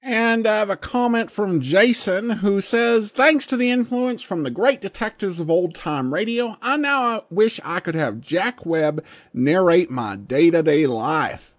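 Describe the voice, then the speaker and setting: A man's voice: high voice, lively intonation, unhurried, no echo, studio quality. A man hosting a podcast with conversational commentary. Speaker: podcast host.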